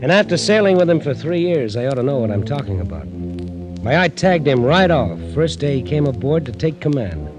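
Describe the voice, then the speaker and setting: A man's voice: medium pitch, very animated, fairly quick, natural voice, rough recording. An actor playing a resentful young chief mate who tells his story wryly and colloquially in a 1940s radio drama. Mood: bitter, dramatic. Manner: wry, colloquial, exasperated. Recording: old radio recording with music.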